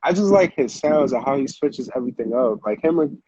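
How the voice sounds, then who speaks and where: A man's voice: mid-range voice, expressive, brisk, dark tone, slightly rough, highs cut off. An American man chatting casually as an interview guest, with slangy phrasing and a habit of repeating himself. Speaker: music producer, interview guest.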